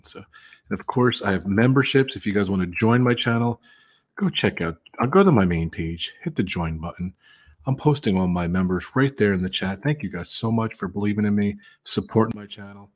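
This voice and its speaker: A man hosting a casual live stream, upbeat, greeting guests and thanking his viewers. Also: lowish voice. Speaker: host of a live stream hangout.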